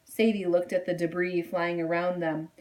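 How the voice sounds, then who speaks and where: A woman reading a children's story aloud in an expressive style. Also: lowish voice, normal speed, rough recording. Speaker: storybook reader.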